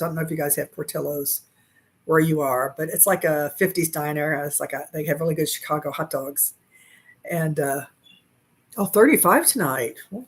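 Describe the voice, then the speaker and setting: A woman's voice: sits low, slightly rough. Chatty woman in her sixties on webcam. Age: 60s. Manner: chatty, reads and answers viewer comments; laughs easily. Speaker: crochet livestream host. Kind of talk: casual chat while crocheting. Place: small bedroom at home, indoors. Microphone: webcam at desk.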